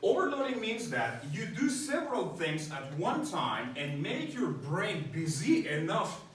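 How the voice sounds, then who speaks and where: A man teaches an English class in a bright, animated voice, walking his students through each idea and often checking in with "right?". He sounds a little distant from the microphone, and the room gives back a strong echo with a faint hiss underneath. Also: high-pitched, a little slow. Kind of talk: classroom lecture.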